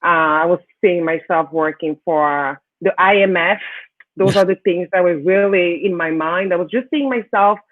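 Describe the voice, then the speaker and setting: A woman from Cameroon speaks as an interview guest in a low voice. The sound is thin and narrow like a call, with very little room in it. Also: moderate pace, dry recording. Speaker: interview guest, financial services professional. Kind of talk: conversational interview answers.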